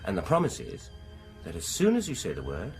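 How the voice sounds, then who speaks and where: Radio thriller: a persuasive British dentist, lowish and very expressive, deliberate, theatrical, hushed at moments, music underneath. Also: male voice, rough recording. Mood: confident, persuasive. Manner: persuasive, deliberate, theatrical, dropping into near-whispers. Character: smooth-talking, insistent male dentist coaxing a frightened patient. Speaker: actor in a radio drama. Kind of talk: radio horror drama acting. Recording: radio drama with music behind.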